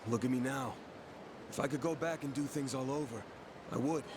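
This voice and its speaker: A man's voice: medium pitch, normal speed, natural voice. An actor playing a meek, wary and polite homeless man in a video game, speaking hesitantly. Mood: wary, regretful. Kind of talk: dramatic video game voice acting. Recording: ambient noise behind voice.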